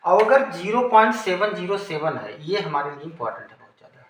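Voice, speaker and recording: A high-voiced Indian man teaches in an informal lecture style. He explains step by step and often rephrases his points. The recording is echoey, with faint noise behind him. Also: expressive, a little fast. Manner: didactic, repeats and rephrases phrases.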